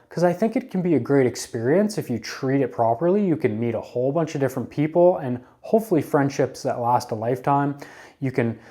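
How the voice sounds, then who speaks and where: Man in his thirties, mellow and warm, casual earnest advice talk, coaching tone. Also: medium pitch. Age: 30s. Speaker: advice vlogger.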